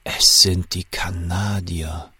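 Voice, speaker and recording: A shaken wartime official, recounts a fateful night in an audio drama. His voice is very deep and rough, recorded close and dry. The telling is measured, inward and full of suspense. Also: steady tone, bright, forceful tone, no echo, heavily acted. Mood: tense, introspective. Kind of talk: first-person dramatic narration in an audio drama.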